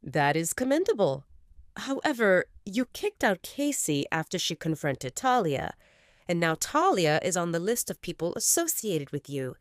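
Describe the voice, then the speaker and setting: A woman voicing a calm, persuasive male alpha of a rival pack who talks in a measured, reasoning way. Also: fairly low pitch, no echo, clean studio sound.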